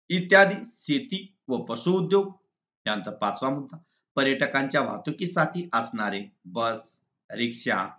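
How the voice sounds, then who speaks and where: A man teaching in a deliberate, didactic way, reading exam answers point by point. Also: medium pitch, pushed and bright. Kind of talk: exam answer walkthrough, lesson read aloud. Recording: slightly dull lo-fi recording.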